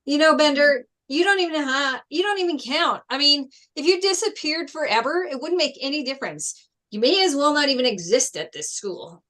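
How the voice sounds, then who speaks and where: A hot-headed high school jock , played by a woman with a high voice and lively, swooping intonation. He is blunt and combative, as in a drama table read. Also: normal speed, few pauses. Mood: contemptuous. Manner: blunt, confrontational. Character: indignant, combative teenage boy jock wrestler.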